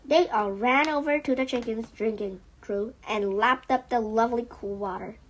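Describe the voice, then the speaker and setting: A woman reading a children's story aloud as an audiobook, with expressive narration. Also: a little high, moderate pace, poor audio. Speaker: audiobook reader.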